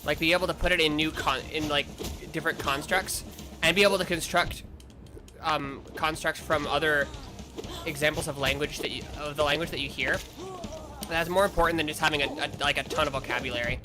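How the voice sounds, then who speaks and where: A man streaming a game, chatting casually in a rambling, self-correcting way, with sudden loud outbursts at the game. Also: on the high side, fairly even intonation, a little fast, background noise. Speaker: vtuber streamer. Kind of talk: casual gameplay chat. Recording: game music playing behind.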